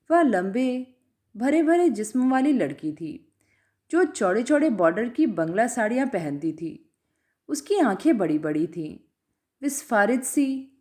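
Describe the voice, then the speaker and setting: High-pitched woman narrating, lively intonation. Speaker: storyteller reading literary fiction aloud. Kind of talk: scripted audiobook-style story narration.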